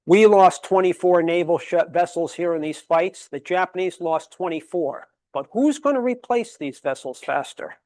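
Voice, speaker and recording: A man's voice: high-pitched, lively intonation, moderate pace, few pauses, darkish tone, no room sound. A man giving a history lecture at a public library, emphatic and fond of rhetorical questions, rattling off dates and figures. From Connecticut, USA. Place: library meeting room.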